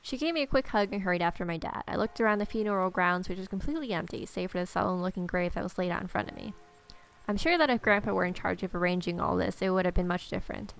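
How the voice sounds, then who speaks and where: Woman reading aloud with a creaky voice. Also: lowish voice, fast. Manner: irreverent, sarcastic. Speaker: let's player. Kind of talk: reading visual novel aloud with casual joking asides.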